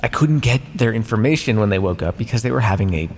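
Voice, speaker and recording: A man playing a sardonic vampire captor in an ASMR roleplay, speaking conversationally with hesitant pauses. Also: fairly low pitch, lively intonation. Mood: defensive, exasperated. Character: teasing, menacing male vampire captor, centuries old scientist. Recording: long hall reverb, faint background noise.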